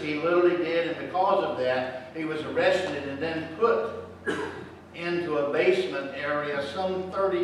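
A middle-aged man preaching a sermon in a church sanctuary, telling a story and swinging between hushed and loud delivery. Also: a little high, a little hiss or hum. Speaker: pastor. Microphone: room microphone, far from camera. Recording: roomy echo, distant mic.

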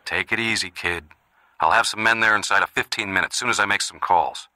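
A man's voice: lowish voice, brisk, bright, forceful tone, dry recording. A man voicing a tough, commanding older brother from a Mafia family, in an audiobook drama. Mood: steadying, decisive.

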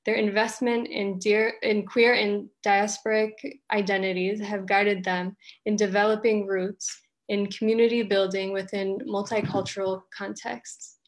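A woman introduces an online artist talk from a prepared script. Her pitch stays flat and even, and her measured delivery sounds scripted. She is heard over a video call. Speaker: event host.

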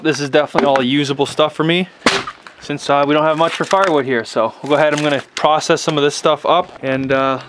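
A young man in his twenties riding a dirt bike through snowy woods, talking excitedly to the camera and cheering himself on. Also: on the high side. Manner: hyped, exclaiming, cheering himself on. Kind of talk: excited ride commentary to camera. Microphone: helmet or action camera, then selfie camera at arm's length. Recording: loud engine and wind noise. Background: motorcycle engine.

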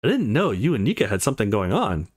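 A man's voice: fairly low pitch, huge pitch swings, quick pace, breathy edge, exaggerated voice. A man voicing a confident, playful young college woman in a visual novel. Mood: teasing.

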